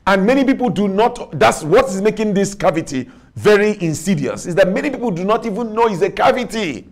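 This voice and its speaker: A man preaching a sermon with a Nigerian accent, emphatic and repetitive, spelling out words and rising to shouts. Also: sits high, lively intonation, fairly quick. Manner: rises to shouts. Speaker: pastor.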